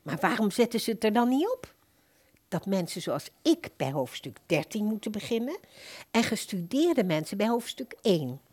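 A woman playing a simple, earnest customer in a radio play, plain-spoken, puzzled and gently persistent. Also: mid-range voice, big pitch swings, moderate pace, breathy edge. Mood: disappointed, puzzled. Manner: plain-spoken, questioning, insistent.

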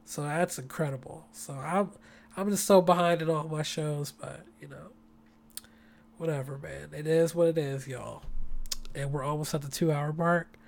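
A podcast host with a hoarse voice, talking in a rambling, enthusiastic, slangy way in a casual solo show from home. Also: medium pitch, steady tone, normal speed. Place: home apartment.

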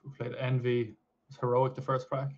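An Irish-accented man, a coach, chatting casually with a voice hoarse from shouting. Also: mid-range voice, level tone, brisk, highs cut off. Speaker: esports coach. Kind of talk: casual chat.

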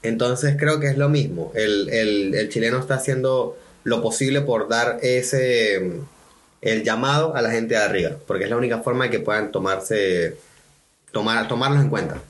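A man's voice: mid-range voice, moderate pace. A man, co-hosting a casual podcast, giving his view in a direct, emphatic way. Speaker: podcast co-host. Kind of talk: casual discussion.

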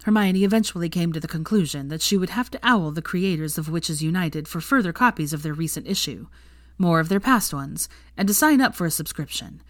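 A woman's voice: on the low side, brisk, no echo, clean studio sound. A woman reading fan fiction aloud as scripted story narration.

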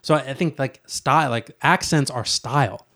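A man with a medium-pitched voice chats casually as a co-host. He feels his way through his opinions, rambling a little and circling back to repeat phrases. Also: normal speed, a bit bright. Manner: tentative, rambling, repeats himself. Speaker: co-host of a talk show. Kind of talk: casual chat.

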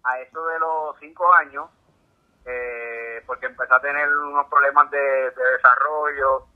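A man from Puerto Rico with a Puerto Rican accent, a guest telling his story calmly, with many fillers. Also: medium pitch, measured pace. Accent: Puerto Rican Spanish. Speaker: guest, ultramarathon swimmer. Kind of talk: casual interview. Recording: tinny phone-like call audio.

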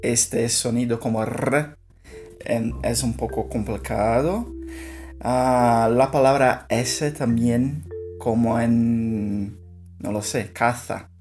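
A Brazilian Portuguese speaker learning Spanish, talking in a halting way, searching for words and laughing softly. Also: mid-range voice, fairly even intonation, over music. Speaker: language learner. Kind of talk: casual spoken practice monologue.

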